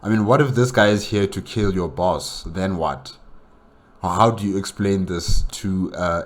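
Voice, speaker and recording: A man with a very low voice gives casual solo commentary as a reaction host. He sounds incredulous and often asks rhetorical questions.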